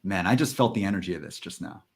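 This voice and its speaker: Earnest low-voiced podcast host. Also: male voice, animated, fast, vocal fry, husky. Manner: earnest, enthusiastic, reflective. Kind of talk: casual podcast chat.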